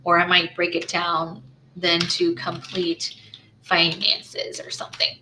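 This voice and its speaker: A woman's voice: fairly low pitch, completely flat delivery, takes it slowly, background noise. A woman running her own small business, walking through her slides in a casual screen-share, thinking aloud with lots of "right?" tags and restarts.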